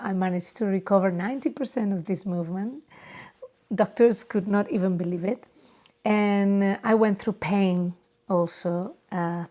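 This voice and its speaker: A woman with a Spanish accent speaks in a mid-range voice at a very unhurried pace. She is a non-native speaker hosting an unscripted, personal podcast. Her delivery is halting, with searches for the right word. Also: medium pitch, dark tone. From Barcelona area, Spain. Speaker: podcast host. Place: home or private studio.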